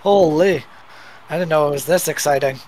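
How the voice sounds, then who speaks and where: A man commentating as a guest on an online game broadcast, slightly raspy from losing his voice, prone to excited outbursts. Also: a little high, moderate pace, noisy background. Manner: excitable, bursts of exclamation. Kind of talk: sports commentary.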